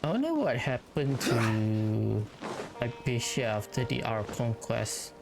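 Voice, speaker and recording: Very low-voiced woman doing game commentary. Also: expressive, slow, creaky. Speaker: gamer playing and reacting to a story quest. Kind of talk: casual let's play commentary. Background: game music and dialogue.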